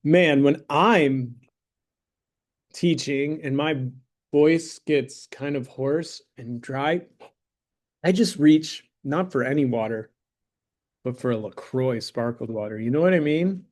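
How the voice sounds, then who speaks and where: A man teaching math to a high school class, talking through problems in a casual, joking way and checking in with the students as he goes. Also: slow pace, darkish tone. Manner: joking, conversational, checks in with "right?" and "okay?". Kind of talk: classroom lesson with a mock sponsor plug.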